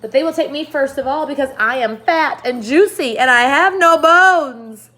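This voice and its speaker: A woman's voice: normal speed, bright, forceful tone. A woman reading aloud and voicing a fat, fearful earthworm from a storybook, whining and panicky. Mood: wailing. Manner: shrill, panicky and whiny. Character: nervous wailing earthworm, fat and gloomy.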